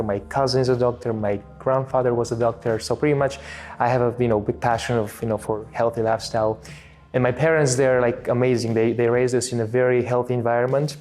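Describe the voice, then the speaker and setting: A man in his thirties from Bulgaria with a Bulgarian accent, telling his story in a relaxed interview, pausing often with fillers and restarts. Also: medium pitch. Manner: halting, many fillers and restarts. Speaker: interview guest, filmmaker. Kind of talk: casual interview talk.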